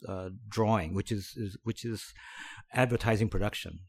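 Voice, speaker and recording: A middle-aged man from the Philippines, a podcast guest recounting his past in a relaxed, halting way with many fillers and restarts. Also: on the low side, gravelly, husky. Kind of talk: conversational storytelling.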